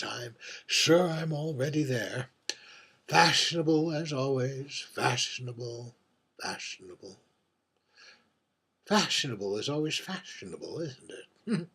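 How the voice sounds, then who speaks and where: Man playing a husky, world-weary old drag queen. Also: mid-range voice, exaggerated voice. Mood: playful, vain. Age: older. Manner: camp, musing, tag questions and endearments. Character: aging world-weary drag queen, wry and theatrical, drinking at bar. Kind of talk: theatrical monologue.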